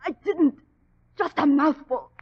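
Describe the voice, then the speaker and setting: A woman's voice: high-pitched, unhurried. An actress playing a stubborn, stricken woman who cries out in pain, in old radio drama style. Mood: agitated, accusing. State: crying out in pain, gasping. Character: stricken, stubborn woman convinced she is poisoned. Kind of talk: 1940s radio acting. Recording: muffled old-time radio recording.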